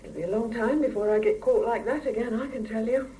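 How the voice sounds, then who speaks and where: A woman's voice: on the high side, quick pace, warm tone, far from the mic, a little hiss or hum, natural voice, low-quality recording. An actress in a 1970s radio drama playing a blunt, world-weary Soviet single mother, on an old cassette recording. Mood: bitter, resigned.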